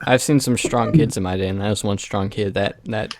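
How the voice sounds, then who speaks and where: A man's voice: lowish voice, fairly quick, crowd noise. A man making casual, joking comments among friends, with other voices audible behind him.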